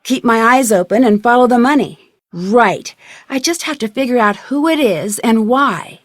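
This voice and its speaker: A woman playing a curious, confident young amateur detective in a video game, speaking in a clear, inquisitive, polite and self-assured way. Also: fairly high pitch, normal speed, no echo, clean studio sound, close to an everyday voice. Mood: curious, thoughtful.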